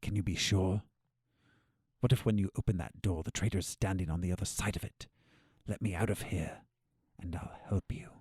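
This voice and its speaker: An actor in an audio drama playing a scheming, sardonic captive doctor with a condescending, drawn-out delivery. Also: male voice, deep voice, fairly even intonation, darkish tone, slightly rough, no room sound, clean studio sound. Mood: needling.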